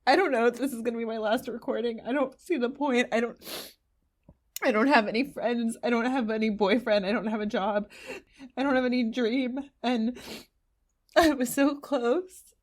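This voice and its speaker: A despairing young woman played by an actress pours out a tearful audio diary. Her voice sits high, and she blurts her self-pity like a private confession in an intimate audio drama. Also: normal speed, long pauses, close to an everyday voice. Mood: distraught, despairing. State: crying. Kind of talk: naturalistic audio drama monologue.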